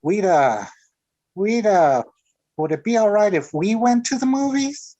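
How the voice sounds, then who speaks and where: An American youth of eighteen in vintage radio comedy. He is earnest and lovestruck, with a stubborn streak and a very animated, swooping voice. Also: sits high, measured pace, dull audio. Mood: sheepish. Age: young adult. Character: earnest, defiant lovestruck eighteen-year-old son. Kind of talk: 1940s radio sitcom acting.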